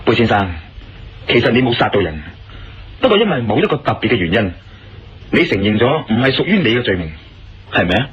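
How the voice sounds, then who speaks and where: A man's voice: mid-range voice, very animated, a little fast, pauses often, natural voice. A man with a Hong Kong Cantonese accent playing a persistent, probing investigator in a radio drama. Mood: reasoning, persuasive. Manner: probing, persuasive. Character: male investigator, persistent, reasoning, questioning a suspect. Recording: lo-fi radio sound with music behind.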